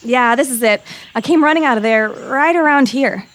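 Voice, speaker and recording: Woman playing a high-voiced, sassy schoolgirl. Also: normal speed, natural voice. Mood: nervous. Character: spirited, sarcastic schoolgirl, wary yet persuasive. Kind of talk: comedic audio drama acting.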